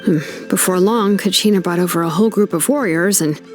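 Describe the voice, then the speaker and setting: A woman's voice: fairly low pitch, brisk, faint music behind, lightly acted. A woman playing a direct, dependable young female fighter in a video game. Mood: practical, relieved. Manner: direct, practical. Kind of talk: video game character acting.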